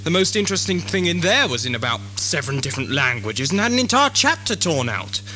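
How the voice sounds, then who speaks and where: A man's voice: a little high, very animated, brisk. A man playing a bored, pompous, peevish space traveller in an audio drama, complaining in a sardonic way. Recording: amateur 1980s drama tape over loud music.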